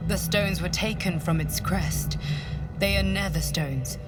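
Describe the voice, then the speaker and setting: Actress as learned fantasy game adviser woman. Deep register, serious expository delivery, slightly distant under heavy music. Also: lightly acted. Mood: grave wonder. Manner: solemn, measured, expository. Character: grave, learned female adviser in a fantasy quest. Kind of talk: dramatic video game dialogue. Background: loud game music.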